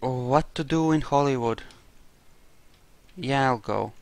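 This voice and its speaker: A man's voice: mid-range voice, a little slow, dry recording. A man giving casual roleplay commentary over a video game, with wry, jokey asides. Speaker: gamer narrating a playthrough.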